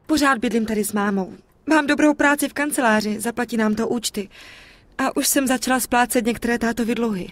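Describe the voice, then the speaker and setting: Girl doing a responsible young sister in a game cutscene, earnest, highish voice, dry recording. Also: no room sound, lightly acted. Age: young adult. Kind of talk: dubbed video game cutscene acting.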